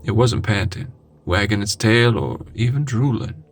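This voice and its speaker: A man reading a scary first-person story in a dramatic, suspenseful way with deliberate pauses. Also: lowish voice, slight background noise. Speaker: horror story narrator. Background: music.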